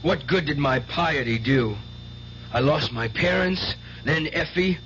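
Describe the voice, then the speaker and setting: A man's voice: fairly high pitch, animated, a little slow, fairly bright tone. An actor playing a grieving, defiant young man bent on revenge in a 1970s radio drama. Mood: bitter, vengeful. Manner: intense, defiant. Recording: dull old radio recording, faint hum.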